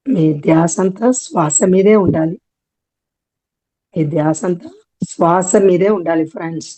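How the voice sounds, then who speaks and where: A woman leading a guided meditation, giving gentle instructions in short, often repeated phrases. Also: on the low side, measured pace, rough recording.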